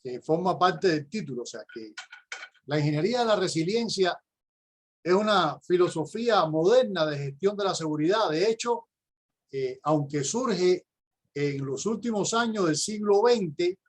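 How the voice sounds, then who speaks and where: A middle-aged man from Cuba with a Cuban accent, giving a prepared conference lecture as a university professor in a measured, explanatory way with occasional hesitations. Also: sits high, lively intonation.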